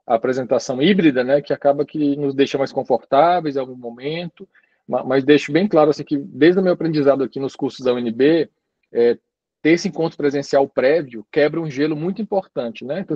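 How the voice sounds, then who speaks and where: Relaxed man lecturing over a muffled video call. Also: medium pitch, no room sound. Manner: chatty, relaxed, tags sentences with "né" and "tá". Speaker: guest lecturer. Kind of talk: informal university lecture.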